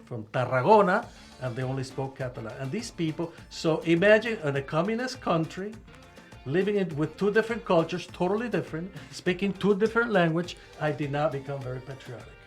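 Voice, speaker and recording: An older man, born in Cuba, chats on a radio show in a slightly high voice. He gets animated and restarts his sentences often, with faint music playing behind him. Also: brisk. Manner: animated, restarts often, rising when stirred. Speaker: co-host. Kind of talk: casual radio chat.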